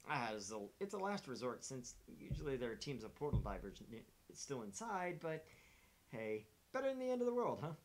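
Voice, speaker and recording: A woman's voice: extremely low pitch, very animated, fairly quick. A woman voicing a dry, sardonic university scientist who explains the occult in a wry way. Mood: smug, explanatory.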